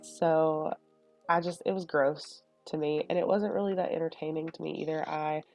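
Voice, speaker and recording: A young woman talks casually and rambles, sniffling from a stuffy nose and filling pauses with "um" and "like". Her voice is low-pitched and mellow. Faint chill music plays underneath. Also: steady tone. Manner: rambling and chatty, lots of fillers. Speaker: Sims build video creator. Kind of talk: casual chat over a speed build. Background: soft lo-fi music.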